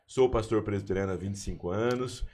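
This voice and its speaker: A Brazilian university chaplain in his forties, talking on a campus podcast. His voice sits low, and he tells his story in a rambling, friendly way with many restarts. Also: fast talker, a little mellow. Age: 40s. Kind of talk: casual podcast conversation.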